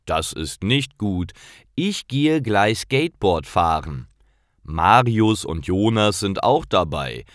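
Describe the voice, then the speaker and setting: A man narrating and voicing Karl, a friendly young man who encourages his friend. The delivery is slow and carefully articulated with lively intonation. The sound is close and dry. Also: lowish voice, dry recording.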